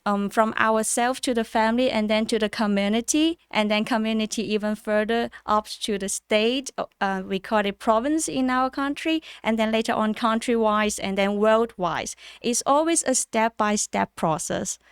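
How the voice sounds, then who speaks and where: A woman from China with a Chinese accent and Cantonese as her first language, answering interview questions in a measured way with frequent ums and pauses. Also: on the high side, clean tone, no echo, clean studio sound. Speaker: interview guest, MBA student. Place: in person at a summit.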